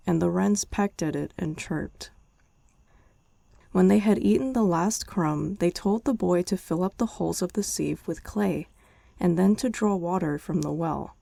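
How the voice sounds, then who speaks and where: A woman reading a bedtime story as an ASMR girlfriend, soft and chatty with the listener, close to the mic. Her voice is low, dark and gravelly with a dry sound. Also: no echo. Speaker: girlfriend in a roleplay.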